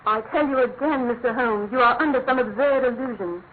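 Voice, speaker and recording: A woman's voice: a little high, brisk, lightly acted. An actress playing a proud, desperate aristocratic lady in a classic radio drama, formal and dramatic. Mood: haughty. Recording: tinny, narrow-band old radio, faint noise.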